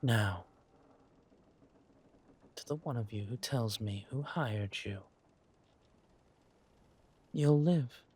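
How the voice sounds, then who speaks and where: A man playing a menacing, sadistic king who speaks with silky politeness and a deliberate, theatrical, taunting delivery in a dramatic roleplay. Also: mid-range voice, darkish tone, a little hiss or hum. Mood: menacing ultimatum. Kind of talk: dramatic villain roleplay audio.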